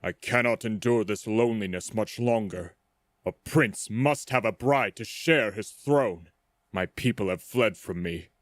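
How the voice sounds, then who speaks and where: A man plays a proud, lonely prince of the sea in a superhero comic dub. The voice is fairly low and recorded dry, with solemn, grandiose, theatrical phrasing. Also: measured pace, no echo, lightly acted. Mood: lonely, yearning. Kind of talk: dramatic comic dub acting.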